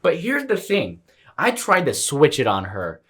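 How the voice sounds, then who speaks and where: A man's voice: on the high side, unhurried, husky. A young man on a casual podcast at home, telling a story with lots of laughs and a habit of asking "all right?". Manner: animated storyteller, laughs often, keeps saying "all right?". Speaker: podcast co-host. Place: room at home.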